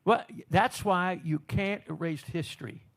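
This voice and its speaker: A man's voice: sits high, a little slow, a bit bright. A man interviewing veteran singers in a casual chat, talkative and explaining music business history at length. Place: on a cruise ship.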